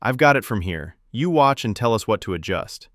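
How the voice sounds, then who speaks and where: A cocky young actor voiced by a male narrator in a podcast story, intense and possessive. He speaks fairly low with expressive inflection, in a dry recording. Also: moderate pace, no echo. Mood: firm.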